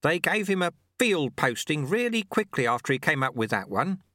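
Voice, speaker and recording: Man voicing a cheerful, high-pitched little old monk. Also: sing-song, normal speed, no echo, studio quality, full character voice. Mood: amiable, amused. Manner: breezy, wry, gently teasing. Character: cheerful, amiable little old monk sweeper, wryly modest.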